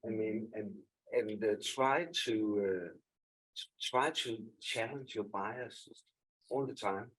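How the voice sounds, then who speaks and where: A man's voice: fairly low pitch, takes it slowly, a bit bright, low-quality recording. A man speaking on a panel in a casual way, with fillers and restarts. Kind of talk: panel discussion.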